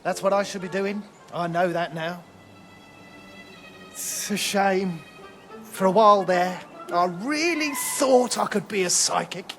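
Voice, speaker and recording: Mode: a rambling fortune-teller, slow and wry. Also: male voice, high-pitched, a bit bright. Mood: rueful. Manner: chatty, self-deprecating, slow. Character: rambling failed fortune-teller, self-mocking. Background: game score music.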